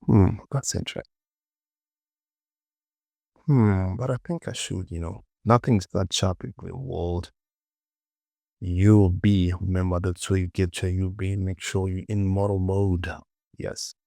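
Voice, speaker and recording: A man casually presenting a software tutorial, talking to himself as he works and repeating short phrases. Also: deep voice, fairly even intonation, a little slow, creaky, pauses often, no room sound, studio quality.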